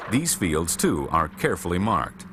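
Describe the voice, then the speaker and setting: A man reading scripted instructional narration for a military training film in a measured, formal, matter-of-fact way. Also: sits low, sing-song, background noise.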